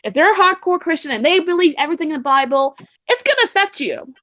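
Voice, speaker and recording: High-pitched woman chatting haltingly. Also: lively intonation, sharp tone. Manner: halting, repeats and restarts phrases. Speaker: co-host and article reader. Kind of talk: casual chat, reading blog posts aloud.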